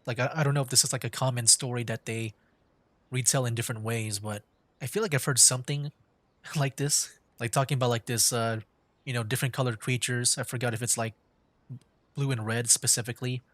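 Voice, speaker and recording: A man's voice: mid-range voice, fairly even intonation, brisk, no echo. A man giving casual gaming commentary as a streamer, rambling and hesitant, close to the microphone. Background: faint game music.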